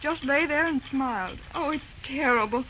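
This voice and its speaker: Proud, genteel young woman played by an actress, old radio serial, bright high tone. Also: moderate pace, close to an everyday voice. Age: young adult. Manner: genteel, proper. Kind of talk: 1930s radio drama acting. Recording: dull noisy old radio recording.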